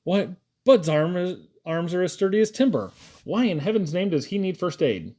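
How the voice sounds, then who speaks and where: A grumbling storybook father, voiced by a man, raised in pitch with lively swings. He sounds bewildered and exasperated by his household. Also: normal speed. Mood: groggy confusion.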